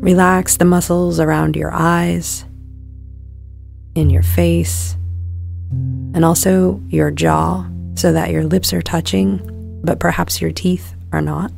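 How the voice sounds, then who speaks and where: A woman guiding a meditation in a calm, soothing, measured delivery, letting her phrases end softly. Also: on the low side, vocal fry, soft background music. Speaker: meditation guide. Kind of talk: guided meditation narration.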